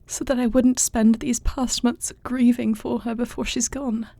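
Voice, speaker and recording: A woman's voice: a little high, little pitch movement, a little slow, creaky, dark tone, no echo. A woman playing a grieving young warrior princess about to become queen, speaking formally and earnestly in an intimate fantasy audio roleplay. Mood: composed, sorrowful. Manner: formal, earnest archaic phrasing.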